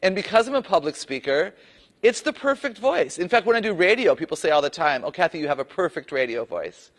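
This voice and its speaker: A woman with a low voice, a public speaker telling a personal story in an animated, playful way. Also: brisk. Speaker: guest speaker. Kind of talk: personal story told to camera.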